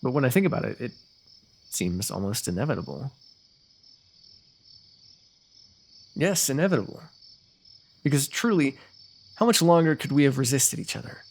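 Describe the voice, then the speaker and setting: A man playing a lovestruck, eloquent fantasy royal in a romantic ASMR roleplay, talking intimately and poetically with gentle teasing. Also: medium pitch, big pitch swings, fairly quick, vocal fry, long pauses. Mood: wistful, flirtatious. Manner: intimate, poetic, talkative, softly amused.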